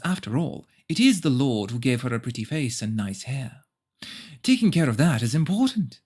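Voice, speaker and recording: A man's voice: mid-range voice, darkish tone, lightly acted. A man voicing a prim, pious, self-satisfied mother who narrates her story in a preachy, cloying way.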